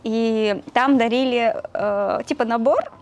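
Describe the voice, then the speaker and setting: A young woman from Russia, a doctor appearing as a guest, telling stories in a relaxed, chatty way and laughing often while recorded on a lapel mic in a small studio. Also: on the high side, takes it slowly. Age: young adult. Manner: chatty storytelling, frequent laughter. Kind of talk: casual interview chat. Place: small studio with neon lights.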